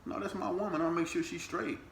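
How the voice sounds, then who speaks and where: A man in his thirties, co-hosting a podcast in casual chat with his partner, animated and jumping up high in pitch when he teases. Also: fairly quick, creaky, echoey room, a little hiss or hum. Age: 30s. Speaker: podcast co-host.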